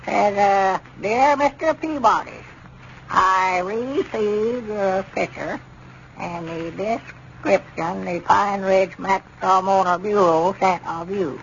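An actor playing a fretful, flustered old country man with a rural Southern accent, halting and repetitive, in a 1930s radio comedy. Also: sits high, gravelly, fairly bright tone, full character voice. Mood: halting, curious. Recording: muffled, hissy 1930s radio recording.

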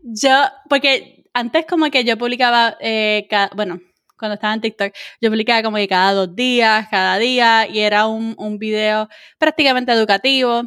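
Higher-pitched Puerto Rican woman, chatty interviewer. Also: normal speed, fairly bright tone, no room sound, studio quality. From Puerto Rico. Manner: encouraging, chatty, peppered with English words. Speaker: interviewer, host. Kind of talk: casual conversational interview.

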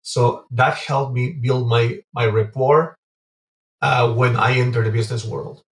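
Halting man in a roomy space. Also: mid-range voice, fairly even intonation. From Guatemala and Venezuela. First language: Spanish. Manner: halting, pausing often mid-phrase. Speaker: interview guest, entrepreneur. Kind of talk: conversational interview answers. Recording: roomy echo, distant from mic.

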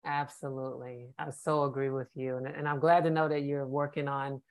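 A woman's voice: extremely low pitch, flat delivery, a little fast. A woman hosting a conversational podcast interview, speaking in an affirming, supportive manner.

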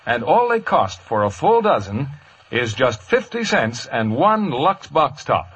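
A man's voice: mid-range voice, big pitch swings, unhurried, fairly bright tone, slightly rough, lo-fi sound. An American man reading a radio commercial as an announcer in a 1950s broadcast style. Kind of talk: 1950s radio advertising read.

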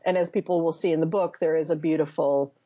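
A woman's voice: deep voice, fairly even intonation, quick pace, dark tone, husky, dry recording, highs cut off. A woman in her fifties, a memoir author answering interview questions thoughtfully, searching for words and restarting often. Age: 50s.

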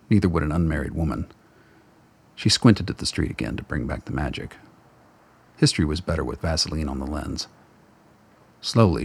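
A man's voice: very deep voice, creaky, breathy edge. A man reading scripted narration for a radio drama as its narrator.

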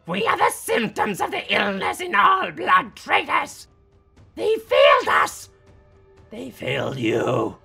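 A man's voice: fairly high pitch, vocal fry, pushed and bright, slightly rough, voice pushed up, exaggerated voice. A man voicing a depraved, exultant wolf creature cult, chanting and declaiming in a dramatic fantasy story. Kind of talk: dramatic audiobook-style character acting.

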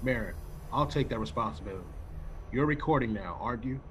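A man's voice: mid-range voice, lively intonation, fast talker, fairly bright tone, slight background noise, natural voice. An actor playing a decisive, commanding male executive of a space colony in an old-time radio science-fiction drama. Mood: insistent. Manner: commanding, firm.

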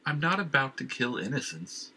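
A man's voice: medium pitch, very animated, normal speed, bright, forceful tone, breathy edge, voice pushed up, full character voice, poor audio. A man playing a proud, stubborn king who speaks in firm, commanding tones.

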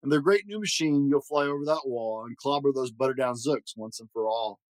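A man's voice: medium pitch, fairly quick, no echo, close to an everyday voice, rough recording. A man voicing a confident storybook military chief with hearty, commanding proclamations. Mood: rousing.

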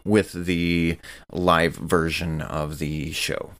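Very deep-voiced, enthusiastic man. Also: flat delivery, extremely slow, fairly bright tone. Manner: enthusiastic, upbeat. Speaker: player and musical performer. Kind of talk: casual podcast chat.

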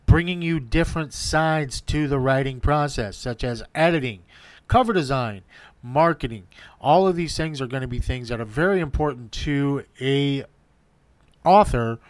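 A man's voice: mid-range voice, moderate pace, dry recording. A man hosting his own podcast, giving an earnest solo update and listing his points in repeated runs. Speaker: podcast host.

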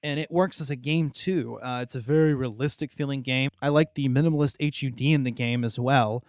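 Clear-voiced young man, chatting. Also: medium pitch, brisk, a little mellow, dry recording, clean studio sound. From Texas, United States. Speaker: podcast co-host. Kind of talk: casual video game discussion.

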